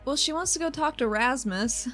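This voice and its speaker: A woman's voice: high-pitched, normal speed, a bit bright, soft background music. A woman chatting casually as a player in an improvised tabletop roleplaying game.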